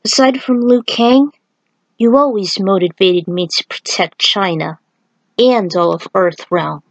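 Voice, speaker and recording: A woman's voice: mid-range voice, measured pace, no room sound, lo-fi sound. A woman voicing a devoted, troubled warrior monk uncle in a solemn, earnest way for a story. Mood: fond, earnest.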